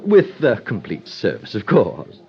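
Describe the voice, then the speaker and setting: A man's voice: lowish voice, huge pitch swings, measured pace, creaky, heavily acted. A man playing a snobbish, obsequious hotel desk clerk in 1950s radio style, prissy and over-polite with fluttering hesitations. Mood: fussy. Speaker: supporting actor. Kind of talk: 1950s radio drama acting. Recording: dull vintage radio recording.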